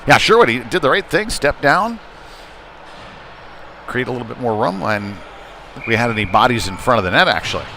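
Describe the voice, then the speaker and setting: A man doing live play-by-play ice hockey commentary in short, halting, conversational phrases. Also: medium pitch, very animated, sharp tone. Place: indoor ice rink. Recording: noisy live arena stream, rink ambience. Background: clear rink noise and ambience.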